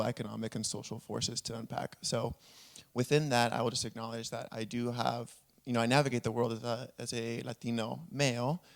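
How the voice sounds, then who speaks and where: A man from Puerto Rico speaks at a conference panel, laying out his points one by one. His tone is earnest and explanatory, and his voice sits at a middle pitch. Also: gravelly. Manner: earnest, explanatory, lists examples.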